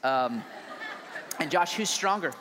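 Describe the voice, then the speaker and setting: An energetic, joking man, a pastor, interviewing a colleague on stage with loud emphatic bursts. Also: fairly high pitch, slow pace, a crowd in the background. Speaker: lead pastor. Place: large church hall. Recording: reverberant hall, faint noise.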